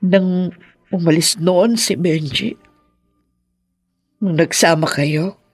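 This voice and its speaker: A woman playing an anguished, possessive middle-aged mother in a radio drama, confessing in a halting, heavy way. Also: fairly low pitch, slightly rough, dry recording, faint music behind, heavily acted. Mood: remorseful, anguished. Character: possessive, remorseful mother of grown children, anguished.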